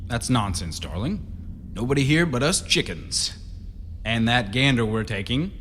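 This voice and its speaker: A man's voice: medium pitch, sing-song, measured pace, a bit bright. A man playing an easygoing, sceptical husband in a radio horror play, breezy and dismissive of spooky talk. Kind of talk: radio horror drama acting. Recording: reverberant, noisy radio drama recording.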